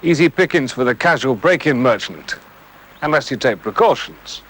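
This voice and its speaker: A man's voice: medium pitch, lively intonation, normal speed, fairly bright tone. A man with a British accent giving colloquial, matter-of-fact scripted advice in a 1970s television film. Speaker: crime prevention film presenter. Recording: faintly noisy 1970s television soundtrack.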